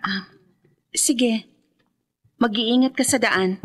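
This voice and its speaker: A gentle, high-voiced young woman. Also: slow pace. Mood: tender concern. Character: gentle, anxious, guilt-ridden young woman in love. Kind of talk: radio drama acting.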